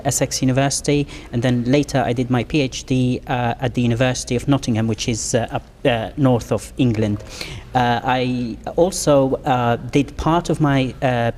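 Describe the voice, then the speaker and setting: A middle-aged man from Morocco, a professor guest, answering interview questions in a measured way with many pauses. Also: mid-range voice, a little mellow, big-room sound, slight background noise.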